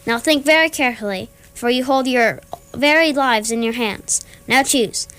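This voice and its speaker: A young woman playing a menacing male alien captain in amateur radio theatre, pompous, deliberate and theatrical. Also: a little high, a bit bright, clear, resonant tone, close to an everyday voice.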